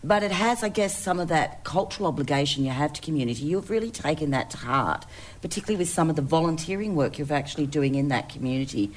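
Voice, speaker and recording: Low-pitched Australian woman moderating a panel. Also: expressive, fast talker. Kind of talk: live panel moderation, conversational.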